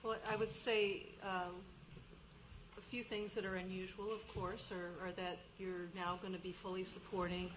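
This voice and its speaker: A woman school administrator explaining a budget at a public meeting in a measured way, with frequent fillers and restarts. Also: medium pitch, flat delivery, noisy background. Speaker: school administrator answering questions.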